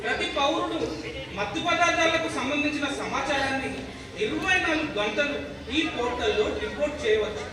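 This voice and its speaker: A man's voice: extremely high pitch, fairly quick, a crowd in the background. A man acting as a chatty TV awareness show presenter in a stage play, emphatic and teasing, putting rhetorical questions to viewers. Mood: bright, emphatic. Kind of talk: theatrical scripted presentation in a one-act play. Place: echoey hall, voice picked up from afar. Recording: very echoey, distant mic, noisy hall.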